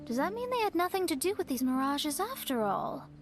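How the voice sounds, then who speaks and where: A woman playing a proud, thoughtful young woman in a video game, speaking in deliberate, formal phrases. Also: sits high, big pitch swings, music in the background. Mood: pensive. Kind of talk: video game cutscene acting.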